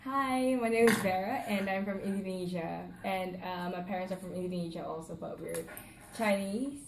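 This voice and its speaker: A woman's voice: medium pitch, normal speed, creaky, slight background noise. A woman in her twenties from Singapore, a student speaking candidly with many likes and ums. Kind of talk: casual testimonial. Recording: echoey room.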